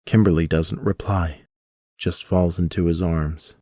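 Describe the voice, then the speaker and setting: A male storyteller reads scripted audio drama narration. His voice sits low, and the sound is dry with hardly any room in it. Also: fairly even intonation, unhurried, warm tone, no room sound.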